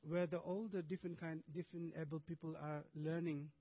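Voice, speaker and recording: Halting man giving a presentation. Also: fairly high pitch, little pitch movement, mellow, muffled recording. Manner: halting, many restarts and fillers, non-native English. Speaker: monastic speaker presenting charity work. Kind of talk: presentation to an audience with video.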